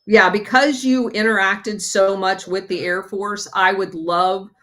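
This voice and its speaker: A woman hosting a casual chat, eager and encouraging, filling pauses with many ums. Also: medium pitch, steady tone, a bit bright. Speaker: podcast host.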